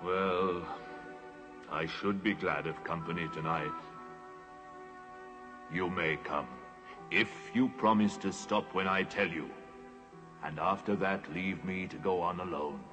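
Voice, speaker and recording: An actor playing a noble lion king, speaking in a grave, measured, stately way with gentle sorrow in a fantasy drama. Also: deep voice, steady tone. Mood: gentle, weary. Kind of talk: dramatic fantasy film acting. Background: music.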